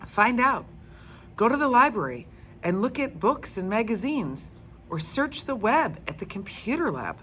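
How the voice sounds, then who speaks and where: A woman's voice: a little high, big pitch swings, unhurried, pushed and bright, slight background noise, voice pushed up, exaggerated voice. A woman voicing an earnest, encouraging male schoolteacher in a children's storybook, instructive and emphatic. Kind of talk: children's storybook read-aloud.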